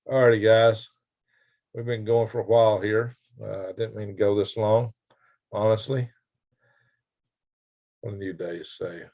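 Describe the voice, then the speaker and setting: An older man with a raspy voice chatting casually and folksily as he hosts a live stream and reads out comments. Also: on the low side, fairly even intonation, slow, pauses often. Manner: folksy, rambling, chuckling country talk. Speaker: livestream host. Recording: dull lo-fi livestream audio.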